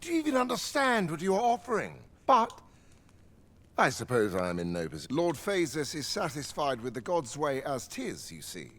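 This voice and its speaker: An excitable, wildly expressive fantasy craftsman. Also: fairly high pitch, moderate pace, full character voice. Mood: excitable, scheming. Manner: archaic formal diction, excitable, muttering asides. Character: excitable obsessive male scholar-craftsman in a fantasy realm. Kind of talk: theatrical fantasy video game acting.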